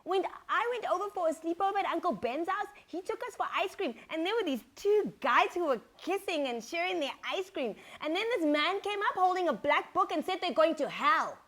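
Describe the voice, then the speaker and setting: Innocent young son played by a female reader, childlike, raised lilting pitch, earnest, story reading. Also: animated, fairly quick, few pauses, a bit bright. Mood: earnest conviction.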